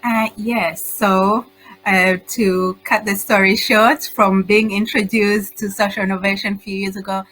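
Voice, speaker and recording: A middle-aged woman with a Tanzanian accent giving informal, halting answers as an interview guest. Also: mid-range voice, level tone, fairly bright tone, rough recording. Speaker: guest, social entrepreneur.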